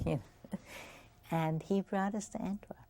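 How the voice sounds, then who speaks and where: An older woman with a Viennese German accent and German as her first language. She speaks in a soft, reflective way, with small laughs. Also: medium pitch, steady tone, slow. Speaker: interviewee. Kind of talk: oral history interview.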